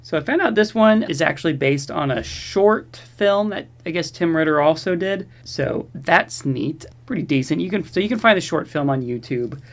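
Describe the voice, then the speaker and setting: Wry, rambling man with a higher-pitched voice. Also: expressive, normal speed, hardly any pauses. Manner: rambling, wry, sarcastic, slangy. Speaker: video host reviewing horror movies. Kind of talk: casual movie review commentary.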